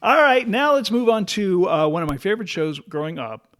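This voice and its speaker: Older-ish american guy, voice a bit on the high side, casual podcast banter about old times. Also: big pitch swings, fairly quick, a bit bright, soft background music. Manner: conversational, bantering. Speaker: podcast co-host. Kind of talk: casual nostalgic chat.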